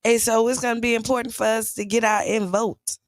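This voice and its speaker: A woman with a hoarse, raspy voice co-hosts a casual morning talk show, recapping her weekend in a chatty storytelling way. Her voice sits at an ordinary pitch and sounds close and dry with no room around it. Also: fairly even intonation, fairly quick, dry recording. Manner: chatty, storytelling, laughs easily. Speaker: radio show co-host.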